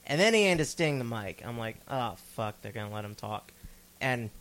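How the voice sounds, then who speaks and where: A man's voice: medium pitch, normal speed. A man co-hosting a casual wrestling podcast, telling stories with sarcastic mock quotes and plenty of swearing. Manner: profane, animated storytelling with mock quotes. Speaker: podcast co-host.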